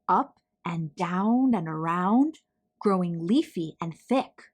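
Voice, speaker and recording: Slow, animated woman reading a children's story. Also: mid-range voice. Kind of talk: expressive read-aloud of a picture book.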